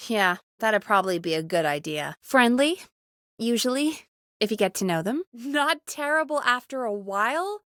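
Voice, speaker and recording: An actress playing a friendly, teasing woman who produces a small-town radio show in a video game, talking in an earnest, expressive, chatty way. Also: a little high, normal speed, dry recording, studio quality. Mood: warm, caring. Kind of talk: video game voice acting, conversational.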